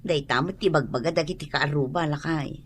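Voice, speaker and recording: A woman's voice: sits low, fairly quick, slightly rough. A woman with an Ilocano Filipino accent playing a skeptical, practical village wife in a comedic radio drama.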